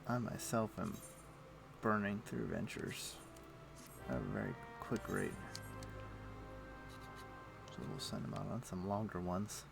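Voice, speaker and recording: A man's voice: on the low side, takes it slowly, gravelly, pauses often, music in the background. A man hosting a casual gaming livestream, rambling and thinking aloud with mild, old-fashioned turns of phrase. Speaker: livestream host. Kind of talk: casual gaming stream chat.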